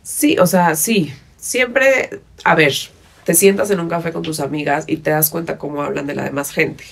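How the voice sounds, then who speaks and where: A woman's voice: low-pitched, moderate pace, gravelly. A woman in her thirties with a Mexican Spanish accent, talking casually as a podcast guest into a studio microphone. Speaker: podcast guest, fitness instructor. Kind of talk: casual conversational interview. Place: small padded podcast studio. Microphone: studio microphone on boom arm.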